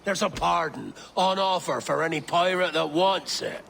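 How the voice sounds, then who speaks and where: An actor voicing a gruff, commanding pirate captain, emphatic and ominous, in a video game cutscene. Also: male voice, on the high side, moderate pace, fairly bright tone, slight background noise. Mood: grave.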